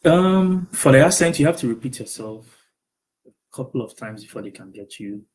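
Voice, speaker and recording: A young man with a Ghanaian accent, a doctoral student being interviewed over a video call, speaking thoughtfully with many pauses and ums. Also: mid-range voice, lively intonation, breathy edge.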